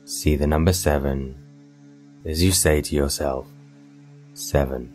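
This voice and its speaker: A man narrating a scripted guided meditation in a soothing, hypnotic, measured way with long pauses. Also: a bass voice, fairly even intonation. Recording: voice over meditation music. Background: soft meditation music.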